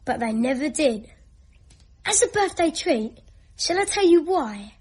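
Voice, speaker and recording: A woman's voice: sits high, lively intonation, a little slow, darkish tone. A female performer playing a bossy child who tells gruesome secrets in a radio drama.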